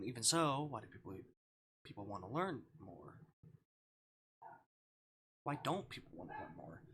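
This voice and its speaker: A man's voice: medium pitch, pushed and bright. A man livestreaming a game casually, reading visual novel dialogue aloud with offhand, murmured asides. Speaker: streamer. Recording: clear background noise.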